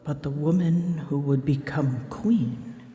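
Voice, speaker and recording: A woman voicing a prickly, wounded female dragon in an intimate ASMR roleplay, speaking haltingly and slipping into whispers. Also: low-pitched, dark tone. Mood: haunted, confiding. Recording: roomy long reverb, faint ambient noise.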